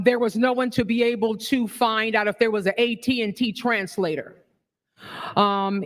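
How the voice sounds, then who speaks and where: 60s woman giving a fired-up forum speech, keeps asking the crowd questions. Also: on the high side. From New York. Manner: passionate, rhetorical, asks the audience questions. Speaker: forum speaker. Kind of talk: public speech at a community forum.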